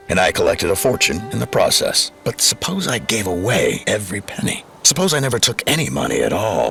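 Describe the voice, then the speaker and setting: A man's voice: low-pitched, vocal fry, full character voice. A man playing a rich, commanding, self-mocking press tycoon in a radio drama, with a grandiose way of speaking.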